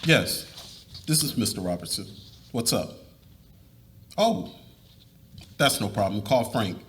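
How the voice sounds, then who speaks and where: Man playing an earnest, animated middle-aged husband. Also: lowish voice, takes it slowly. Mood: casual, upbeat. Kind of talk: live dramatic reading.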